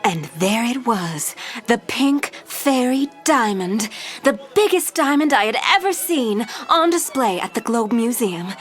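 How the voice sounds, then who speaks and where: A woman's voice: a little high, animated, measured pace. A woman playing a glamorous, self-assured, flirtatious socialite in an audio drama, telling stories in a playful, showy way. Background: music.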